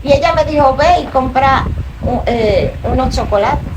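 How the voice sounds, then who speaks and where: A woman's voice: sits high, moderate pace. A middle-aged woman from the Dominican Republic with a Dominican accent, a minister telling her faith testimony in an interview with earnest, rising emotion. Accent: Dominican Spanish. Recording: distant mic, noisy room.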